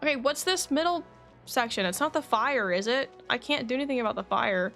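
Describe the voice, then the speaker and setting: A woman's voice: on the high side, animated, a little fast, creaky, a bit bright. A woman doing livestream commentary on a game, casually talking through what she is playing, with music behind her. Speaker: game streamer. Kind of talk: livestream gaming commentary mixed with reading dialogue aloud.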